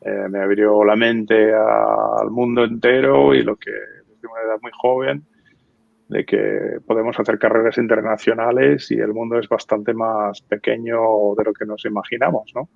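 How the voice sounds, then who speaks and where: A man's voice: fairly low pitch, fairly even intonation, normal speed, vocal fry, no echo, phone-quality sound. A man in his fifties from the United States, a guest in a conversational interview, telling stories in an easy way with many fillers and restarts.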